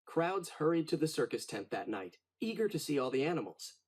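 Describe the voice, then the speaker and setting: Man, children's storybook narrator, higher-pitched, very lively intonation, dramatic, husky. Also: brisk. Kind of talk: expressive children's read-aloud narration.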